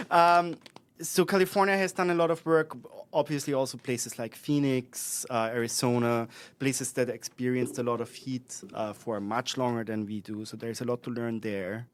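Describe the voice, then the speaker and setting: A man with an Austrian accent talks as an invited expert in a relaxed interview. He speaks at a middling pitch and pace, pausing often with fillers and correcting himself as he works through his points. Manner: thoughtful, with many fillers and restarts. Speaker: guest expert. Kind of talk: conversational podcast interview.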